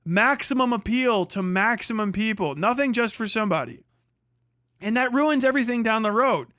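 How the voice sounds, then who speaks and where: High-pitched man ranting, very dry sound. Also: animated, moderate pace, a bit bright, no room sound. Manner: profane, emphatic, repetitive. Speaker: livestream host. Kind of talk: unscripted opinionated rant and commentary.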